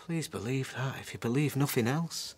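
A man acting a scheming, smooth-talking estranged husband in a naturalistic radio drama. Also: medium pitch, normal speed, a little hiss or hum, natural voice. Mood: pleading, soothing.

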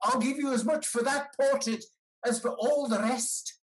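An older actor playing a polite, hesitant gentleman moneylender in a period comedy, speaking in a halting, courteous way over a video call. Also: very high-pitched, rough recording. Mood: eager, pleading. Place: dim room indoors, video call.

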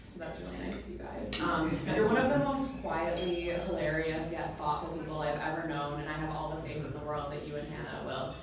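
A woman's voice: low-pitched, fairly even intonation, brisk, gravelly, hardly any pauses. Woman in her thirties toasting in an echoey room. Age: 30s. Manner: chatty, with many ums and likes, laughing between lines. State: emotional, near tears. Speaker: bridesmaid giving a toast. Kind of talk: wedding toast read from a phone. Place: small indoor reception room. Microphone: standing mic, filmed from across the room. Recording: echoey room from a distant camera, noisy crowd.

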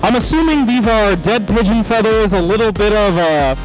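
Young male mock reporter, brash and high-voiced, handheld mic, music bed. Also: expressive, normal speed, poor audio. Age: young adult. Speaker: mock news correspondent. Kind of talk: comedic on-the-street interviewing. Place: busy convention hall.

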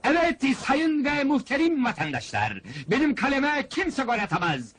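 An actor playing a wily, bossy fairground boss in a comedy film, with pompous huckster patter. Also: male voice, very high-pitched, wildly swooping pitch, moderate pace, exaggerated voice. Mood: showy, excited. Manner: loud huckster patter, pompous mock-formal announcements. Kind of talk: comic film dialogue and fairground barking.

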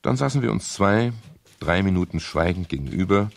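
An actor playing a dry, laconic private detective who narrates his own case in a measured, wry way in a seventies radio crime play. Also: deep voice, expressive, vocal fry. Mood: dry narration. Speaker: actor and first-person narrator in a radio drama. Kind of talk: 1970s German radio crime drama acting. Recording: noisy old radio recording with clicks.

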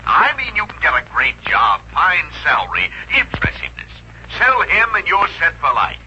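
An actor playing a flustered, eager, persuasive personnel manager in a 1950s radio comedy. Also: male voice, on the high side, wildly swooping pitch, normal speed. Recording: muffled, noisy vintage radio recording.

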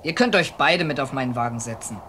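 An actor playing a good-natured, folksy Siberian wagon driver in a German audio drama. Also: male voice, mid-range voice, expressive, a little fast, pushed and bright, a little hiss or hum. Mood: easygoing.

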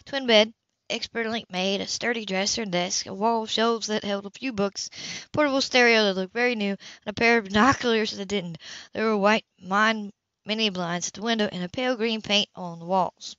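A woman's voice: mid-range voice, steady tone, normal speed, a bit bright, dry recording, highs cut off. A woman reading a novel aloud as an amateur audiobook narrator. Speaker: audiobook reader.

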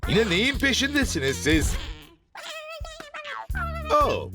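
A narrator telling a children's cartoon, with many exclamations and quick jumps into the characters' lines. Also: medium pitch. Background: cartoon music.

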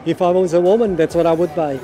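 Enthusiastic middle-aged man, higher-pitched voice. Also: steady tone, fast talker, warm tone. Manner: enthusiastic, exclaiming, often repeating phrases. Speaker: vlogger and walking tour guide. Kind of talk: casual walking tour commentary. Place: busy outdoor street market. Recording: outdoor crowd noise, background music. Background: music and crowd chatter.

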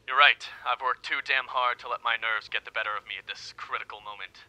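A man's voice: mid-range voice, fairly even intonation, brisk, pushed and bright, dry recording, rough recording. An actor playing a nervous, bumbling undercover policeman with awkward, overeager delivery in a comedic radio play. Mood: nervous, resolved. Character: nervous, bumbling undercover policeman, clumsily faking gangster slang.

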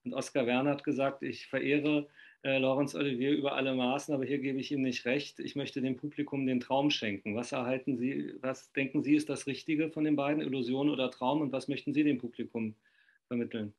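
A man's voice: medium pitch, fairly even intonation, a little fast. Chatty middle-aged man interviewing on a call. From Germany. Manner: chatty, enthusiastic, laughs often, rambling questions. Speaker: interviewer, fanzine host. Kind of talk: casual video-call interview. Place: home room indoors. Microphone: video call.

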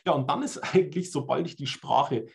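A man's voice: fairly high pitch, a little fast, vocal fry. A German man in his forties giving an explanatory online presentation on language learning, often adding "ne?" to check in with listeners. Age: 40s. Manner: explanatory, uses tag questions like "ne?". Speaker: language trainer. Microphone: webcam on video call.

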